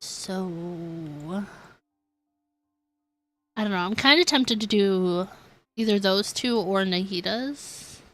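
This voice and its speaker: Slow-paced, giggly woman streaming. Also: mid-range voice, a bit bright. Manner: chatty, giggly, musing aloud. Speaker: game streamer, host. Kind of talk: casual live-stream chat to viewers. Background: game music.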